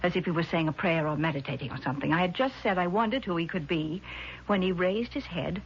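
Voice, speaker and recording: An actress playing a sensible, worried, skeptical sister in an earnest 1970s radio drama style. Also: female voice, on the low side, quick pace, breathy edge. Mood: explaining. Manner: earnest, reasoning. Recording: muffled vintage radio, faint noise.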